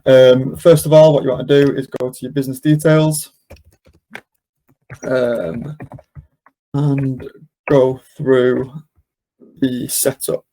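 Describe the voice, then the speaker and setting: A man hosting a webinar, casually talking through a software demonstration step by step without a script. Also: mid-range voice, little pitch movement, slow, long pauses, slight background noise, low-quality recording. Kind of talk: unscripted software walkthrough.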